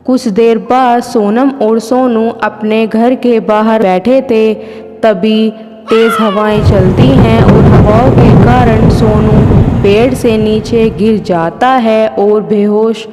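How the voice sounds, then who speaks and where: A woman's voice: on the high side, steady tone, slow pace. A woman narrating a scripted children's moral story as a storyteller. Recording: long hall reverb, faint music. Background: music.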